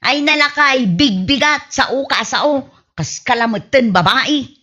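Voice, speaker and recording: A woman's voice: high voice, takes it slowly, pushed and bright, breathy edge, exaggerated voice. A woman playing a gossip-loving, defiant village wife in a comedy radio drama, with exaggerated whiny retorts, on muffled audio.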